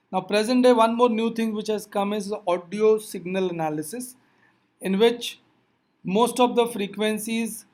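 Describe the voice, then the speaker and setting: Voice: mid-range voice, darkish tone. A physics teacher giving an explanatory lecture, addressing learners directly with reminders in a didactic way.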